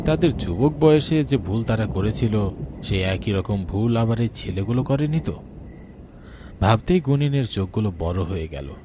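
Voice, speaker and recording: A man with a fairly low voice tells a dramatic story as narrator. The delivery is scripted storytelling, recorded with faint noise in the background.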